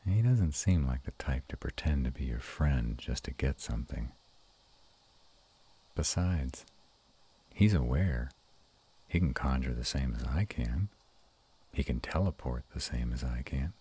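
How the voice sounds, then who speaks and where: A man playing a gruff but tender were-dragon lover in an intimate romantic audio roleplay, murmuring slowly and haltingly in a gravelly, husky voice. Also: rumbling low, expressive, mellow, exaggerated voice. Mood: thoughtful.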